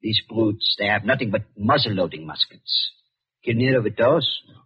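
An older German doctor with a husky voice that rises and falls freely. His accented speech is halting and ingratiating, repeating itself nervously with a sly, genial air, as heard on a muffled old radio drama. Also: lowish voice, lively intonation. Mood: guarded. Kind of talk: 1940s radio drama acting. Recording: muffled vintage 1940s radio recording.

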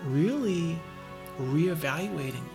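A man hosting a podcast interview, upbeat and effusive, with the host's warm enthusiasm for his guest. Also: a little high, expressive, slow, creaky, music in the background. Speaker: podcast host.

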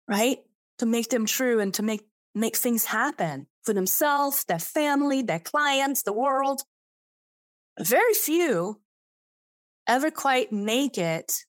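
A woman's voice: on the high side, no room sound, clean studio sound. Middle-aged Swedish-accented woman podcaster. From Sweden. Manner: earnest, emphatic, rising on key words. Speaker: podcast host. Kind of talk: solo podcast monologue. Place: home office.

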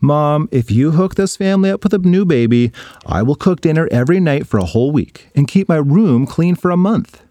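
Man plays a jealous young boy in a kids' storybook: high, bouncy and pleading. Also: a little fast, no echo, close to an everyday voice. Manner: excited, pleading, whiny.

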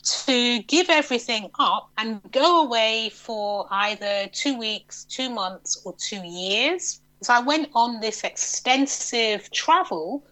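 A woman's voice: on the high side, slow pace, few pauses, poor audio. A middle-aged woman from England, answering interview questions thoughtfully and often restarting her sentences. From northern England. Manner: thoughtful, with frequent restarts and a lively rising voice. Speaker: guest, psychologist and yoga teacher. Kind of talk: interview conversation.

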